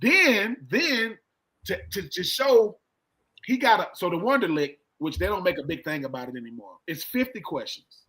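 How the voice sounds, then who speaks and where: A middle-aged man with a high, bright voice talks sports casually. He speaks in colloquial phrases, circles back to repeat his points and slips into quoted dialogue as he tells a story. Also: lively intonation, moderate pace. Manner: colloquial, repeats himself, acts out quoted exchanges. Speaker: sports show co-host and former football player.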